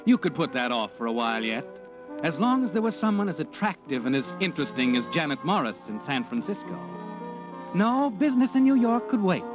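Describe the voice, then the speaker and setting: High-pitched, taunting mystery narrator. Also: male voice. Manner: taunting, insinuating, dramatic. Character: sinister, all-knowing male narrator of crime tales. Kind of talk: 1940s radio mystery narration. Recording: dull old radio recording, music behind. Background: music.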